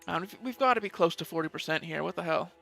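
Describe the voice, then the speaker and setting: A man's voice: on the high side, fast talker, creaky, a bit bright, faint music behind. A man giving casual let's play commentary, thinking aloud and reading bits of game text, with some mild swearing.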